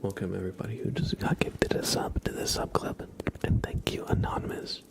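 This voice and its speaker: Whispering man with a creaky low voice. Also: little pitch movement, brisk. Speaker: livestream host. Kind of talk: whispered ASMR livestream, chatting with viewers.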